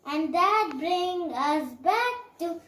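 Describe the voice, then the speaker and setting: A woman's voice: very high voice, expressive, a bit bright, heavily acted. A woman voicing a childlike, sulky but eager young pupil in a children's puppet show. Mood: eager, reciting. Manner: very slow, childlike, singsong. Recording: echoey room.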